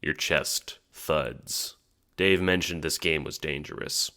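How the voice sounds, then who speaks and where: Deep, creaky-voiced man narrating an audio story. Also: slow pace, pushed and bright. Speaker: narrator and producer of an audio drama. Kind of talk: scripted second-person comedic narration.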